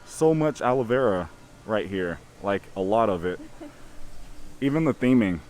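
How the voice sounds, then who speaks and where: Male vlogger, slightly raspy, chatty and upbeat, recorded outdoors at a park. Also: lowish voice, expressive, a little slow, a little mellow, a little hiss or hum. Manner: enthusiastic, chatty. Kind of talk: upbeat vlog narration.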